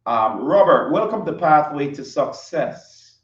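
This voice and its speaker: Man, talk show host, mid pitch, halting with fillers, roomy sound, far from mic, dull audio. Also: very animated. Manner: halting, with restarts. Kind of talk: interview hosting.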